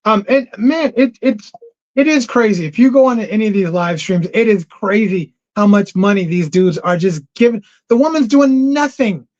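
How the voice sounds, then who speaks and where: A man hosting a casual livestream and taking callers, animated, often repeating himself for emphasis. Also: sits high, moderate pace. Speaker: livestream host. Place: home. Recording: dull lo-fi stream audio.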